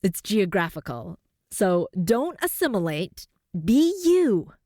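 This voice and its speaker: A woman from Canada with a neutral North American accent, hosting a podcast as a voice coach. She talks in an upbeat, encouraging way and jumps up in pitch for emphasis. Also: very animated, slow, warm tone, no room sound, clean studio sound. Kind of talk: scripted podcast monologue.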